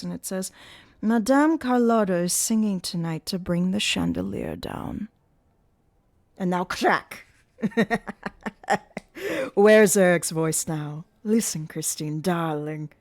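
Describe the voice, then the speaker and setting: A woman doing a gloating masked male ventriloquist. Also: lowish voice. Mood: taunting. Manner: slow, taunting, swooping and gloating. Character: menacing masked male ventriloquist, mocking and theatrical.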